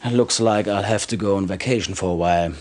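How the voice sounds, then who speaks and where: A man playing a sly, low-voiced arms dealer. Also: quick pace, darkish tone, close to an everyday voice. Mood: smug, jovial. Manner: smug, chuckling salesman patter. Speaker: game character actor. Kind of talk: video game cutscene acting.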